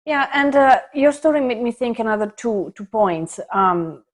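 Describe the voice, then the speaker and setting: A woman co-hosting a conversational discussion, reasoning through points in a slightly halting, tentative way. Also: fairly high pitch, expressive. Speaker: podcast co-host.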